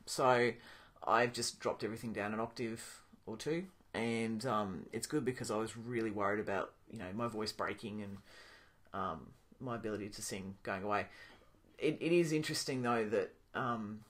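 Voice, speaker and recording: A young man talking casually to his camera in a video diary, pausing often with ums and restarting his sentences. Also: lowish voice. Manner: relaxed, thinking aloud with many ums and restarts. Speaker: vlogger.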